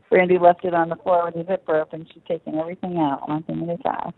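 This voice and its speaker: A woman's voice: on the low side, level tone, brisk. Mature woman on a tinny phone line. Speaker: mother on a phone call, minding a baby. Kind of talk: casual family phone chat.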